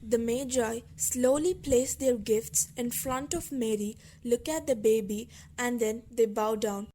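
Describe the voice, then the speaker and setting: A woman narrates a school puppet play in a fairly high voice with a soft, very mellow tone. She reads scene and stage directions as scripted lines. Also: steady tone, measured pace, slight background noise.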